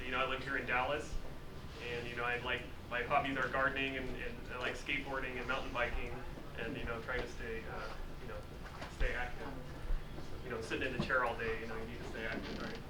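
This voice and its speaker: A man, an engineer, casually explaining his work to students in a classroom, with many filler words. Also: mid-range voice. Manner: relaxed, lots of "you know" fillers. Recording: roomy distant mic with noise.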